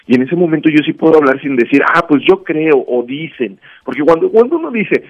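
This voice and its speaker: A man's voice: a little high, expressive, brisk, muffled recording. A man with a Mexican accent giving a conversational motivational talk as a radio guest, teaching emphatically with anecdotes and rhetorical questions. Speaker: guest commentator on a radio segment.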